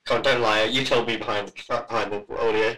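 A man's voice: on the low side, steady tone, a little fast, sharp tone, poor audio. A man co-hosting a casual podcast, cutting in with teasing quips.

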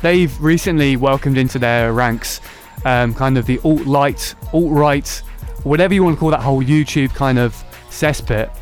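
A man's voice: mid-range voice, normal speed, hardly any pauses, faint music behind. A man hosting a casual political podcast, sarcastic and mocking. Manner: sarcastic, mocking voices of others. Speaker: podcast host.

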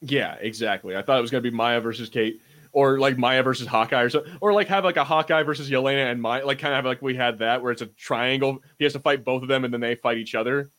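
A man's voice: medium pitch, fast talker, fairly bright tone. A man hosting a podcast, chatting casually about TV shows in an informal way with some mild swearing. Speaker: podcast host.

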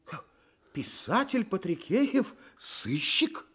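An effusive, easily astonished gentleman in a Soviet radio drama. His husky voice sits rather high and swoops widely, with theatrical emphasis. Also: very animated, takes it slowly, full character voice. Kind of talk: Soviet radio play acting.

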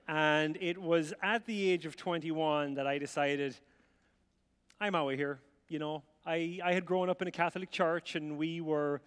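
A man's voice: on the high side, smooth. Storytelling Irish man in a hall. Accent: Irish, rural midlands. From Ireland. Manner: chatty, self-mocking, acts out dialogue. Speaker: speaker sharing his life story. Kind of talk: storytelling talk to a live audience. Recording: reverberant hall sound.

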